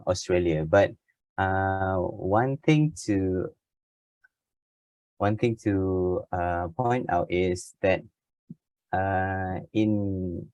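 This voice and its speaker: A man's voice: deep voice, darkish tone, no echo, poor audio. A young man with a Malaysian accent, English not his first language, presenting his choice in a group discussion with frequent hesitant fillers. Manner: hesitant, many filler sounds.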